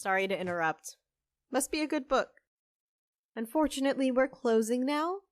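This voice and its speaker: An actress playing a kind, apologetic half-human cafe co-owner in a fiction podcast, gentle and hesitant in manner. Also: female voice, sits high, lively intonation, moderate pace. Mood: polite, calm.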